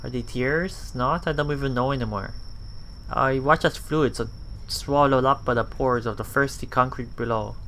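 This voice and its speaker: A man reading a visual novel aloud as a let's play host, halting and self-correcting, with muttered casual asides. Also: mid-range voice, fairly bright tone, a little hiss or hum, low-quality recording.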